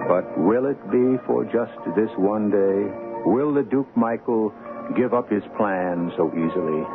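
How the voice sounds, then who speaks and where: A man hosting and narrating a radio mystery in a theatrical, suspenseful, deliberate style. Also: lowish voice, gravelly. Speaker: host and narrator. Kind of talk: dramatic old-time radio mystery narration. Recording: muffled vintage radio broadcast with music.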